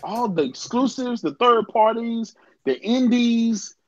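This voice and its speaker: A man's voice: very high-pitched, lively intonation, takes it slowly. A man in a casual gaming chat, pushing his points in an argumentative way. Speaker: podcast co-host. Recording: dull dry lo-fi mic.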